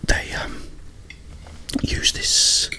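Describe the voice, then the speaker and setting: A man speaks entirely in a breathy, unvoiced whisper. He takes his time, rambling in ASMR style with halting phrases and long pauses between thoughts. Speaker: ASMR creator. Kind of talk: whispered ASMR ramble about video games.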